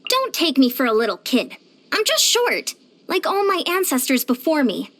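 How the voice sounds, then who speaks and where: Game role: pint-sized youthful girl trainer, high and animated, peppy, earnest motivator. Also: normal speed. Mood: matter-of-fact, proud. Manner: peppy, encouraging, earnest, self-disciplined. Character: short, youthful, upbeat female fitness coach of superhuman strength. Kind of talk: video game character voice lines.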